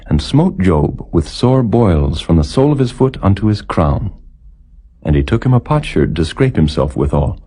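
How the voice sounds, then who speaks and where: A man reading scripture aloud as a narrator, in a solemn, measured and formal delivery. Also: sits low, dark tone, slightly rough. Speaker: narrator, Bible reader.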